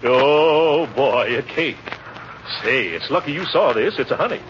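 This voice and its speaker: A man's voice: mid-range voice, lively intonation, unhurried, close to an everyday voice. Blustery pompous uncle guy acting, old radio comedy, voice swoops all over, dull noisy sound. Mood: jovial. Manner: hemming, stammering, chuckling bluster. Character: pompous, flustered, greedy bachelor uncle with sly chuckle.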